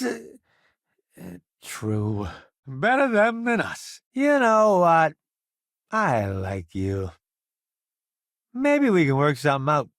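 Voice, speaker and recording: A man's voice: a little high, fairly bright tone, no room sound, clean studio sound, exaggerated voice. A man playing a vain, flamboyant columnist turned space crewmate in a video game, with a wry, theatrical, smug delivery. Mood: alarmed combat shouts. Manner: theatrical, wry, smug banter with drawn-out emphasis. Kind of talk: video game companion dialogue.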